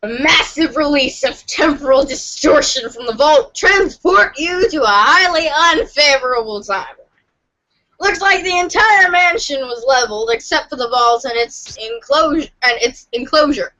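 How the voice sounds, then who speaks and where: A woman giving an animated dramatic reading of a comic story for a podcast. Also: sits high, normal speed, lo-fi sound. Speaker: podcast reader.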